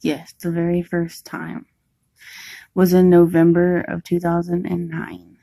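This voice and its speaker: A woman's voice: on the low side, monotone, measured pace, creaky, warm tone, no echo. A woman co-hosting a vlog, adding short casual remarks to a story time. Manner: brief chiming-in remarks. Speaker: vlogger co-host.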